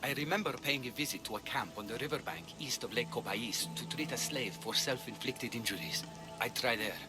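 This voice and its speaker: A man playing a conscience-torn ancient healer in a video game, earnest and measured. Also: mid-range voice, lightly acted. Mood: grateful, earnest. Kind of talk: dramatic game cutscene dialogue. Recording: music bed behind voice.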